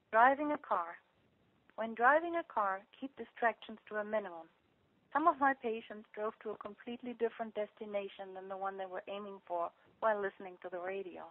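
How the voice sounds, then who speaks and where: A woman clinician reading a prepared advice talk to patients in a measured, instructional manner over a telephone conference call. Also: mid-range voice, no room sound. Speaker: clinician speaker presenting to patients. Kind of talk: prepared talk read aloud, giving practical advice. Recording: tinny narrow-band telephone conference line.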